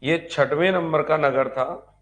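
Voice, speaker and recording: A man's voice: fairly high pitch, measured pace, a bit bright. Man teaching history, repeating key points. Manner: didactic, repeats points and asks rhetorical check questions. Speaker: history teacher for exam preparation. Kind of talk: live online lecture, dictating notes.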